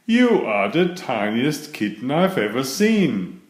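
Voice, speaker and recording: A man voicing a cheerful, literal-minded housekeeper woman in a children's storybook, in a bright, wondering, sing-song way. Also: mid-range voice, sing-song, slow pace. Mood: chirpy. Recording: roomy echo.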